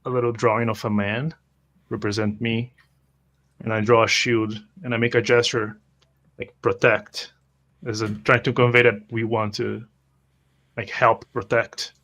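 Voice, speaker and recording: A man improvising first-person roleplay as a tabletop game player, halting and repeating words as he goes. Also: on the low side, little pitch movement, a bit bright.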